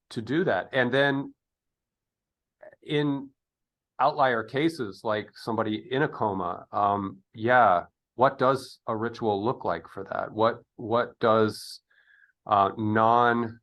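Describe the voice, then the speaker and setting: A man in his fifties hosting a reflective, casual interview, speaking haltingly as he searches for his words. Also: fairly low pitch, fairly bright tone. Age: 50s. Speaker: interview host.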